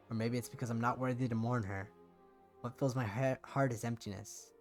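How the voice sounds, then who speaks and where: A man's voice: lowish voice, natural voice. A man voicing a guilt-ridden, despairing young man who narrates his own visual novel story, somber and introspective. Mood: grieving, hollow.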